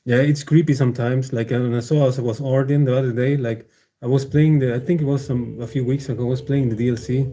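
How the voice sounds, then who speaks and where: A man's voice: medium pitch, steady tone, fast, dark tone. A man chatting casually on a livestream, meandering and hesitant, with many fillers and restarts and frequent chuckles. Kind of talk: casual rambling stream chat.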